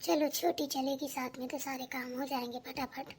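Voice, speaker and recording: Woman voicing a very high-pitched, gossipy cartoon village housewife. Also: level tone, moderate pace, close to an everyday voice. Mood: gossipy grumbling. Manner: gossipy, colloquial, complaining. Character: chatty village daughters-in-law and nagging mother-in-law, grumbling. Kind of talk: Hindi village family cartoon dialogue.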